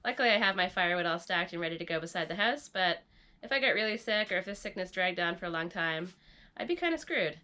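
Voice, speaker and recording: A woman talks to camera in a relaxed, chatty vlog manner, thinking aloud as she goes. Her voice is bright and forward, though audibly stuffed up and congested. She sounds easygoing and unhurried indoors in a rustic barn. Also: fairly low pitch. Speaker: vlogger renovating an off-grid barn. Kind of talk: casual vlog talk to camera.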